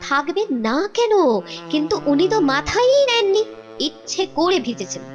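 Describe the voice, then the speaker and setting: A woman playing a scolding, fretful queen in a kids' cartoon, nagging and expressive. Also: very high-pitched, moderate pace, highs cut off, soft background music. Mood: concerned. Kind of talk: Bengali kids' cartoon voice acting.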